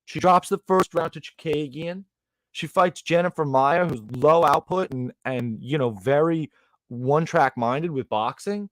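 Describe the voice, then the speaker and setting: A man co-hosting a podcast, breaking down fight betting lines in an animated, slangy way. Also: on the high side, unhurried, dry recording, poor audio. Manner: animated, slangy, calls people dog. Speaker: podcast co-host, betting analyst. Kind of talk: casual sports betting chat.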